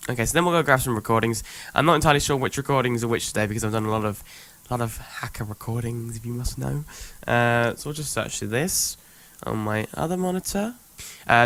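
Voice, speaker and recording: A man's voice: mid-range voice, fairly bright tone, clean tone, dry recording. A young man with a voice worn by illness, walking through his video editing in a casual, rambling screen-recorded tutorial full of ums. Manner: rambling, thinks aloud with many ums. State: sick, voice worn. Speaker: youtuber showing his editing.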